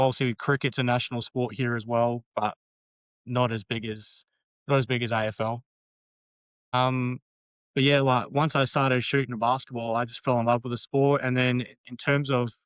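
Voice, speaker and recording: A man's voice: medium pitch, a bit bright, no room sound, low-quality recording. A man in his late thirties with an Australian accent, chatting casually as a podcast guest, rambling with frequent ums and restarts. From Western Australia. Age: 30s.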